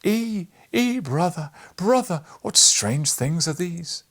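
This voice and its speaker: A man voicing an excitable, eccentric puppet maker from a storybook, exclamatory and theatrical. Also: high-pitched, takes it slowly, pitched above the performer's own voice, heavily acted. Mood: astonished.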